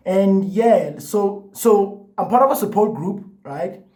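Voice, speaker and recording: A man's voice: high voice, animated, slow, a little mellow. A young man talking casually to the camera, with a stutter that brings repeated words and restarts, his speech loose and full of "like" and "you know". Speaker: vlogger.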